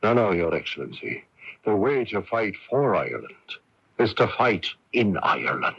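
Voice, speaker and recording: An actor playing a stubborn, idealistic Irish patriot in a radio drama, earnest and resolute. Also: male voice, lowish voice, big pitch swings, moderate pace, vocal fry, slightly rough, exaggerated voice. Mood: skeptical, challenging. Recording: muffled AM radio broadcast tape.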